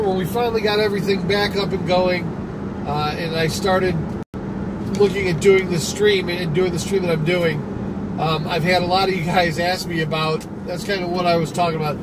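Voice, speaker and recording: Rambling man talking while driving. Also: sits high. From Michigan, USA. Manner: rambling, conversational, with frequent restarts and fillers. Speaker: livestream host. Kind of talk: casual live-stream talk while driving. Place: inside a car, driving. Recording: noisy car recording with road noise.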